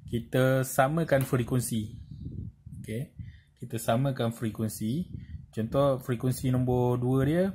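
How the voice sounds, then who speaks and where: A man with a Malaysian accent giving an informal hands-on tutorial, explaining step by step in a halting way with frequent check-in tags. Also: mid-range voice, fairly even intonation, dark tone. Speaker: presenter of a tutorial. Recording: clearly noisy amateur recording with clicks.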